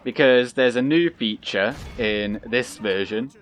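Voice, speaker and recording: Calm, smooth-voiced man. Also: mid-range voice, unhurried. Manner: calm, soft-spoken, hesitant with many fillers. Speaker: gaming channel host. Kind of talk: casual gameplay commentary.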